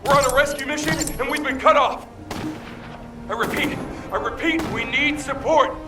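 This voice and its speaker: A man's voice: extremely high pitch, normal speed, over music. An actor playing an earnest young male soldier in a video game, strained and grateful, in distant echoing audio. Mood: desperate.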